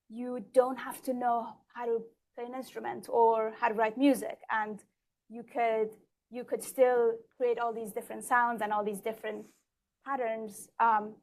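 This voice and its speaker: Woman panelist, fairly high but flat delivery, measured and steady, event stage. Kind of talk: panel introduction. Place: event stage in a large hall.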